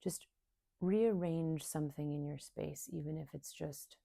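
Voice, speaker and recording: A woman leading a guided meditation in a soft, soothing, unhurried way, leaving long pauses between short phrases. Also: low voice, vocal fry, no room sound, clean studio sound. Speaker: meditation guide and somatic coach.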